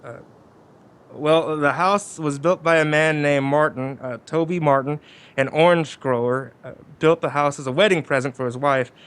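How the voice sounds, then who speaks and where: A man acting a flustered, skeptical real estate agent in an old-time radio drama, talking casually with stammers and halting phrases. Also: on the high side, steady tone, fairly bright tone, clear, resonant tone, close to an everyday voice. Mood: earnest, grim.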